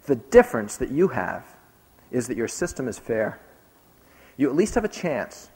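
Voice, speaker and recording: A man recounts his travels with earnest emphasis. His voice sits at a middle pitch and carries the long reverb of a large hall. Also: very animated, moderate pace, a little mellow. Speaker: speaker recounting a trip. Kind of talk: recounting a trip in a talk.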